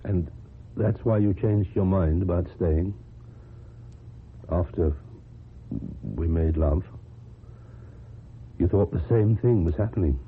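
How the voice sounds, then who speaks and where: A man's voice: deep voice, slow pace, pauses often. A man acting in a radio drama as an earnest, devoted lover who looks back on his past, tender and hesitant. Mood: probing. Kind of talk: radio drama acting and first-person narration. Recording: muffled narrow-band old radio recording, clear noise.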